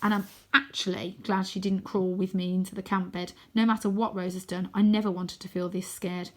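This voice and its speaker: A woman's voice: mid-range voice, flat delivery, fairly quick, a little mellow, close to an everyday voice. A woman voicing an earnest young boy in a storybook, scared but plucky and polite. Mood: indignant. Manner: hesitant, earnest pleading.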